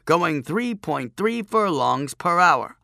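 A man voicing a pedantic chief priest in an audiobook, droning out riddles in a drawn-out, pompous recitation. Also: on the high side, very animated, gravelly, bright, forceful tone, no echo, studio quality, voice pushed up, heavily acted. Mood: pompous testing.

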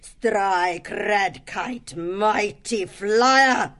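A proud wounded kite, broken words. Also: female voice, lowish voice, a little mellow. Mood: indignant. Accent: strange foreign-sounding bird accent. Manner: halting, clipped broken phrases, very slow. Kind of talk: audiobook character voice.

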